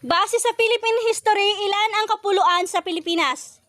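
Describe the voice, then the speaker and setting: A woman's voice: very high-pitched, moderate pace, fairly bright tone, slight background noise. A woman with a Filipino accent hosting a quiz, reading out trivia questions in set formal wording. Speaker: quiz host.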